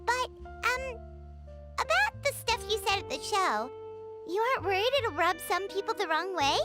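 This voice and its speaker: A woman voicing a chirpy, excitable tiny floating fairy companion in a game, who talks about herself by name. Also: very high voice, normal speed, music in the background. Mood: curious.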